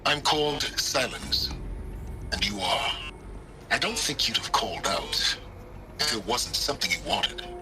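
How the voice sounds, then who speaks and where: An actor playing an enigmatic, calculating scholar who speaks in a measured, wry manner with deliberate pauses. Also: male voice, mid-range voice, expressive, heavy background noise, natural voice. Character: enigmatic scholarly male outcast, calm and calculating.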